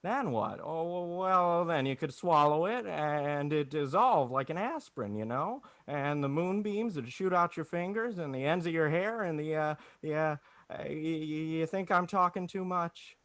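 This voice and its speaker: Casting: man as earnest small-town dreamer for vintage radio drama, high bright voice, halting and stammering. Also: lively intonation, dry recording. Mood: dreamy, eager. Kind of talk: 1940s radio acting.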